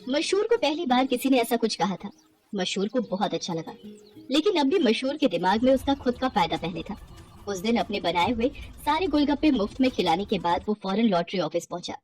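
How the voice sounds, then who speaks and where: A woman giving expressive scripted narration for a cartoon moral story. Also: a little high, fairly quick, soft background music, low-quality recording.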